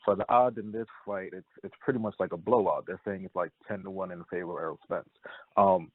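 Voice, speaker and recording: A man with a lowish voice talks quickly over a tinny, dry phone line. He is a sports reporter asking questions in an informal, wordy way. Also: fairly even intonation, no echo. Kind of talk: casual interview questioning. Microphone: telephone.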